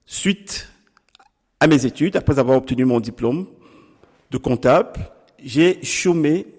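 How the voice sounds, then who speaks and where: A middle-aged man from Cameroon, a political candidate answering interview questions in a deliberate, formal way with pauses. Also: mid-range voice, a little mellow, long reverb tail. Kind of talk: measured campaign interview answers.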